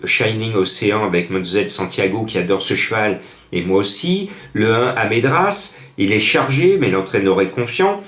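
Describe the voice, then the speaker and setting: A man giving informal horse racing predictions off the cuff, chatty and emphatic, often repeating phrases for effect. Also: mid-range voice. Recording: echoey room sound.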